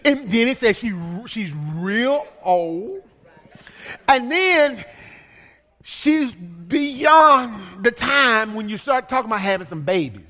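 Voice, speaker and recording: A man's voice: extremely high pitch, animated, slow, sharp tone, big-room sound. A male pastor preaching a sermon in a playful way, with lots of repetition and call-and-response to the congregation.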